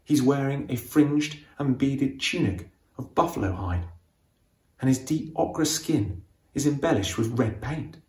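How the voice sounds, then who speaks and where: A man reading a novel aloud as its author, with expressive, suspenseful storytelling in a dramatic audiobook style. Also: mid-range voice, unhurried, echoey room.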